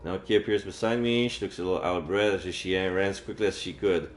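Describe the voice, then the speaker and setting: A man's voice: low-pitched, fairly even intonation, quick pace, a little hiss or hum. A man streaming a game and reading its visual novel text aloud. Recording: echoey room with faint game music. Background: faint game music.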